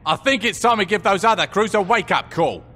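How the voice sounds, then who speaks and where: An actor playing a tough, dry-humoured gang boss in a video game cutscene, speaking bluntly with plenty of swearing. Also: male voice, high-pitched, lively intonation, a little fast, big-room sound, heavy background noise. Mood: commanding.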